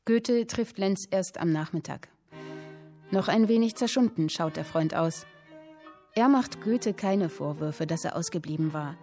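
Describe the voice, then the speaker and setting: A vivid storyteller in a radio play, with faint music behind her. Her voice is on the low side, and she savours each phrase expressively. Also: unhurried, dark tone. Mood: conspiratorial. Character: woman vividly recounting an old literary anecdote.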